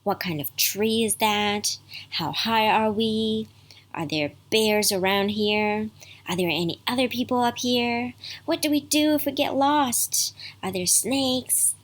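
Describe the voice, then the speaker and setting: A woman voicing a curious, excitable young granddaughter in a bedtime story, with eager questions. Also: fairly high pitch, takes it slowly.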